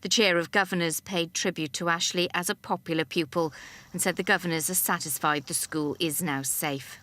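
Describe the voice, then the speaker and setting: A woman's voice: fairly low pitch, fairly even intonation, moderate pace, bright, forceful tone, a little hiss or hum. A woman news reporter narrating a scripted report in a serious broadcast style.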